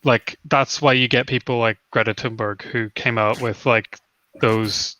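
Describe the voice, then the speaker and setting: A man's voice: mid-range voice, fairly even intonation, measured pace, sharp tone, dry recording. A young man chatting casually as a stream guest, full of fillers. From Northern Ireland. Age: young adult. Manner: rambling with many fillers. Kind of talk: casual chat. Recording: dull, slightly lo-fi mic.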